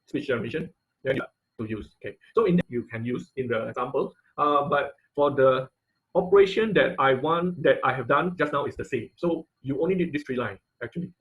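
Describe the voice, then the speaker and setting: A man giving an informal live technical tutorial as an online class instructor, halting and repeating words, often checking in with "okay" and "right". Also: medium pitch, poor audio. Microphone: online video call.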